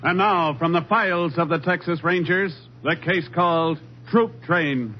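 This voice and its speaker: A man's voice: on the high side, measured pace, over loud music. An American man formally declaiming the introduction to a 1950s radio drama. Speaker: program announcer. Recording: dull 1950s radio recording.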